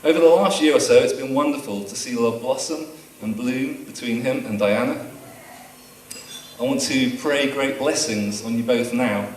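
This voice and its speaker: A man's voice: mid-range voice, normal speed, slight background noise. Best man teasing, echoey room. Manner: dry, teasing humour read from a prepared speech. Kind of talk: wedding speech. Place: wedding reception room. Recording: echoey room taped from far off.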